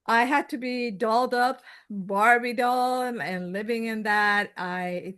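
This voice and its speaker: A woman's voice: a little high, fairly even intonation, slow, a bit bright, clear, resonant tone. A woman in her fifties from Iran with a Persian accent, a guest telling her story in casual chat, rambling with many fillers and restarts. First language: Farsi. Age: 50s.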